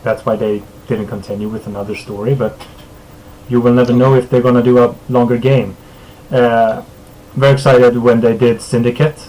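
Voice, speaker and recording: Male podcast host from Sweden, mid pitch, rambling and chuckly, faint hiss behind. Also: little pitch movement, normal speed, warm tone, low-quality recording. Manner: rambling, chuckles often, self-corrects. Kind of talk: casual streamed podcast chat.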